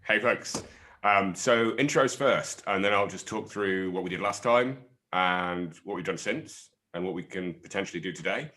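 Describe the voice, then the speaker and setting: A man leading an online panel discussion, explaining things in a measured way with the odd filler. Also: low-pitched, sharp tone. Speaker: panel lead presenter.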